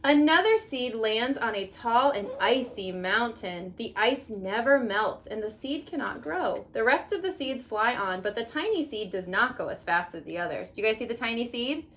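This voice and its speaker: A woman teaching young children as a mother at home, reading a picture book expressively and pausing to ask simple questions. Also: on the high side. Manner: expressive, instructive, asks leading questions.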